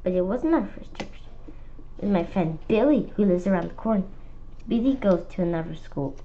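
A woman reading a children's book aloud slowly, stumbling and restarting, with lively voices for the characters. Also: medium pitch, a little hiss or hum. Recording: slightly dull lo-fi sound.

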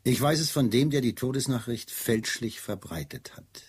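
An actor in a German radio play playing a shrewd Scotland Yard chief inspector, dry, ironic and probing. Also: male voice, mid-range voice, moderate pace, breathy edge. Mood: questioning.

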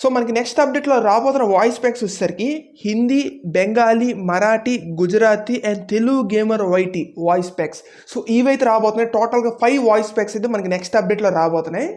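A woman's voice: on the high side, fast, darkish tone. A woman with a Telugu accent giving a casual gaming news update to viewers as a YouTuber.

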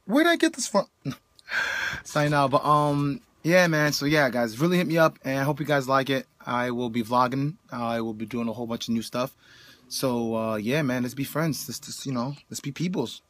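A young man talking casually to camera in a small room, a fitness vlogger with a relaxed, slangy, rambling way of talking. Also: mid-range voice, normal speed, no room sound. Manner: laid-back, rambling, slangy. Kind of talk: casual vlog talk to camera.

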